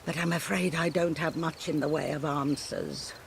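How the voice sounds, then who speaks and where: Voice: medium pitch, fairly even intonation, normal speed, vocal fry, a little hiss or hum, close to an everyday voice. An actor voicing an ancient talking owl in a video game, wry and cryptic, with folksy, theatrical musing. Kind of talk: video game cutscene acting.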